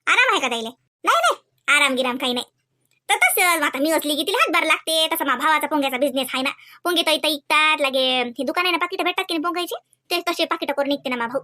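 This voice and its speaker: A woman's voice: high-pitched, expressive, fast, pushed and bright. A woman playing a chatty village housewife and mother, exclaiming and gossiping in rustic Varhadi Marathi. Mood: gossipy. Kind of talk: Marathi comedy cartoon dialogue.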